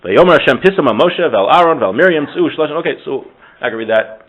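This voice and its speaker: A man's voice: fairly high pitch, lively intonation, quick pace, a bit bright. A man teaching an informal Torah class in a conversational, joking, self-interrupting way, sprinkling Hebrew terms into his talk. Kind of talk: informal religious lesson with discussion. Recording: thin phone-like audio.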